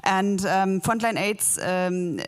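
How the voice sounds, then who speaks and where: A woman's voice: medium pitch, slow, clear, resonant tone. A woman moderating a panel, asking a question hesitantly with many ums and likes. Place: conference panel.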